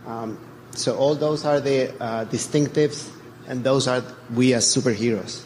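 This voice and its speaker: A middle-aged church elder from Chile speaks to his congregation in an earnest, halting way. He stops often on fillers and starts his sentences over, and his voice sits at an ordinary pitch for a man. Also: darkish tone, slight background noise, rough recording. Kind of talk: church reflection talk.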